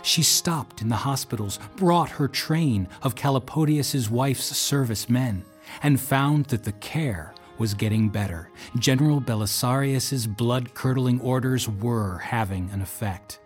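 A man narrating an audio drama with a measured, dramatic delivery. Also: mid-range voice, faint music behind, natural voice.

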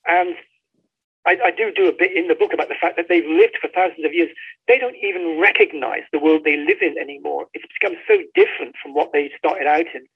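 A man's voice: on the high side, creaky, breathy edge. A man speaking as an author being interviewed, talking in an articulate, storytelling way over a dull, lo-fi line. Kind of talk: conversational book interview.

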